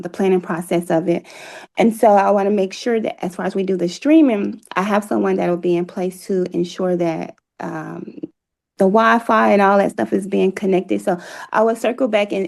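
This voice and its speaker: A woman's voice: medium pitch, vocal fry, a little mellow. A woman speaking as an interview guest, explaining plans in an earnest, casual way with frequent ums. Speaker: playwright and producer, interview guest.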